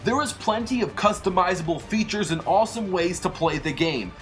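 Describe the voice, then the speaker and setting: Energetic youtube host narrating from a script, mid pitch, music behind it. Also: brisk. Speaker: host and narrator of an animated fight series. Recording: music under the voice.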